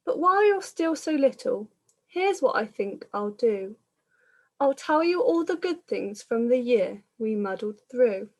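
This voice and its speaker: A woman, a primary school teacher, reading a picture book aloud to young children in a measured, explanatory manner. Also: a little high, very animated.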